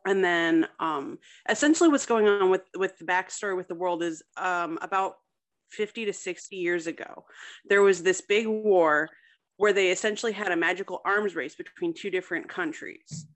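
A woman's voice: fairly low pitch, moderate pace. A woman guest author speaking casually in an interview, hesitantly piecing her explanations together. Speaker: guest author discussing own story.

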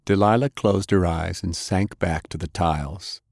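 A man's voice: sits low, a little slow, gravelly, darkish tone, no room sound, studio quality. A man reading an action story aloud as a scripted audiobook narrator.

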